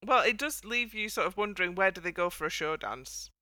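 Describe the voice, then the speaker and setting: Woman podcast host, bright smooth voice, dry close sound, wry and chatty. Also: mid-range voice, animated, fairly quick, no room sound. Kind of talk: casual discussion of a TV dance show.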